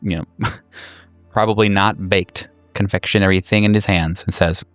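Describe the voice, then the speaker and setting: Man, game master narration, clear resonant voice, halting and deliberate, faint music behind. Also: sits low, fairly even intonation, no room sound. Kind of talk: improvised tabletop game narration.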